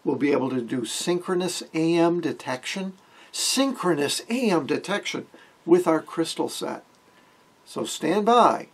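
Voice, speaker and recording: An older man hosts an informal hobby electronics lesson. His voice is husky and sits a little high for a man. He explains things in a folksy, wry way. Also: animated, a little slow. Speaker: amateur radio hobbyist, video host. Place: small wood-panelled room indoors.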